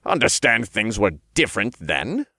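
A man voicing a smug, stern male archangel in a fantasy audiobook, formal and condescending in his measured delivery. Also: fairly low pitch, big pitch swings, pushed and bright, dry recording, clean studio sound, full character voice. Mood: measured, defensive.

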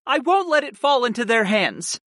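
A narrator voices a secretive, impatient aunt who bristles when questioned. Her lines sit well above the narrator's own voice, clipped and sharp, with lively rises and falls, and the recording is close and dry. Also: a little high, moderate pace, no echo, pitched above the performer's own voice. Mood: insistent.

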